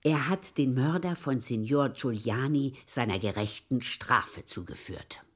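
Eine Schauspielerin spielt in einem deutschen Hörspiel eine fromme, abergläubische Magd, die eifernd, vorwurfsvoll und in altertümlich förmlicher Anrede spricht. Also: female voice, very deep voice, measured pace, slightly rough, exaggerated voice. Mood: pious awe. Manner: fervent, reverent, formal archaic address. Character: pious, superstitious Italian maidservant, fervent and reproachful. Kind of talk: German radio play acting.

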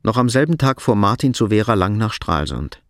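A man's voice: on the low side, very animated, brisk, no room sound. A man reading scripted narration for a radio drama as a composed storyteller.

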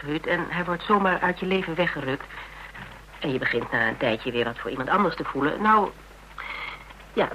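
A woman playing a practical, worldly character in a radio drama, speaking in a measured, wry, matter-of-fact way. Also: low-pitched, a bit bright, slight background noise, poor audio. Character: Tera, cool practical worldly woman giving frank advice. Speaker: actor in a radio play. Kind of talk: Dutch radio drama acting, conversational.

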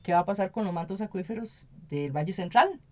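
A woman's voice: medium pitch, expressive, fairly quick, dark tone. An earnest woman with a Costa Rican accent speaking conversationally as an interview guest, often adding tag questions to seek agreement. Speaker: activist and municipal council member, interview guest.

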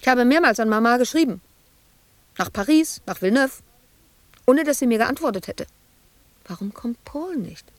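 A woman's voice: a little high, lively intonation, normal speed, long pauses, dry recording, close to an everyday voice. An actress playing a bitter, wounded woman sculptor shut away in an asylum, in a reproachful, volatile radio drama monologue. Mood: reproachful, hurt. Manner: reproachful, volatile, dropping at times to hushed murmurs.

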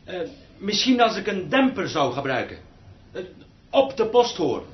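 Radio play role: timid, deferential male orchestra musician, very slow, hesitant delivery, echoey and dull recording. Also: high voice, sing-song. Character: meek, hesitant male orchestral posthorn player.

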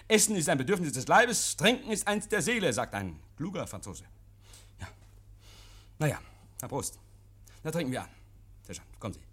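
Nervöser, getriebener Mann mit rauer Stimme. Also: male voice, mid-range voice, slightly rough. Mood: jovial, uneasy. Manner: agitated, stammering, insistent, hears imaginary noises. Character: nervous, paranoid man, Mister Oak, hiding guilt from police. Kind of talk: 1950s German radio play acting. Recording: vintage 1950s radio recording.

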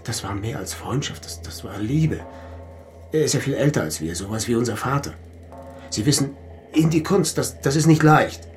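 A man playing an earnest, defensive artist under questioning in a radio crime drama, halting and emphatic. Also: mid-range voice, lively intonation, darkish tone, soft background music, natural voice. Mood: distressed, earnest. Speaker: actor.

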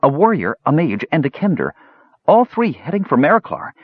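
A man's voice: fairly high pitch, sing-song, moderate pace, slightly rough, no room sound, phone-quality sound, voice pushed up. A man voicing a bold, insistent young village boy, as an audiobook character. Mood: bold, demanding.

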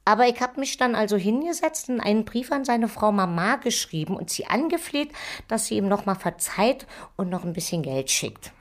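An actress as a middle-aged landlady in a radio play, gossipy and effusive. She fusses like a mother over her lodgers, quick to turn indignant, and acts out everyone she quotes. Her voice sits at an ordinary female pitch. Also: animated, natural voice. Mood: confiding, indignant. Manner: gossipy, effusive, theatrically quoting people. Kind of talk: radio drama acting.

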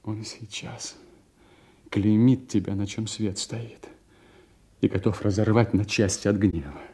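An actor playing a resentful, scheming young bastard son who feigns concern, in brooding, insinuating stage diction for a radio drama. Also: male voice, lowish voice, fairly even intonation, unhurried, mellow, a little hiss or hum, natural voice. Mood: feigned concern. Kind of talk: theatrical radio drama acting.

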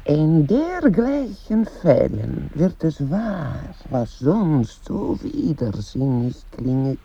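A solemn radio play orator. The delivery is extremely slow and declamatory, in a very dark, husky voice with lively pitch movement. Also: highs cut off, exaggerated voice.

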